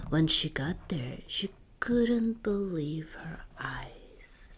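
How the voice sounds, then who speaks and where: A woman reading a children's story in ASMR style, in a soft, breathy whisper with deliberate, expressive delivery. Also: fairly low pitch, a little hiss or hum. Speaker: storybook reader, ASMR creator.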